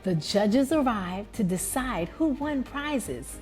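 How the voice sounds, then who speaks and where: A woman from New York hosting a read-aloud, narrating a children's storybook in a deliberate, expressive way. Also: fairly high pitch, over music.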